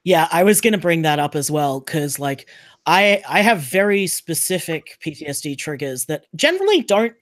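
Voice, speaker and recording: A podcast panelist speaks in a medium-pitched voice during a casual discussion. The delivery rambles and repeats itself, leaning emphatically on key words. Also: sing-song, normal speed.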